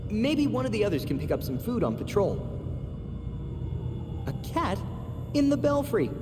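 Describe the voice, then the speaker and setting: A man's voice: high voice, sing-song, normal speed. A man playing a curious, bookish young hero in a video game, musing aloud with eagerness. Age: young adult. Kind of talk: video game cutscene dialogue. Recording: long cavernous reverb under music.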